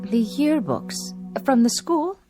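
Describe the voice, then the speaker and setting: Casting: devoted housekeeper for radio play, deferential, anxious, caring, music clearly present behind the voice. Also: female voice, sits high, big pitch swings, slow, heavily acted. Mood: attentive. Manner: deferential, solicitous. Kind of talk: radio drama acting.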